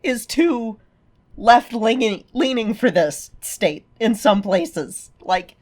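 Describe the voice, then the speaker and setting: A woman's voice: fairly high pitch, lively intonation, takes it slowly, a little mellow. A woman co-hosting a podcast, chatting casually with wry, emphatic opinions. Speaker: podcast co-host.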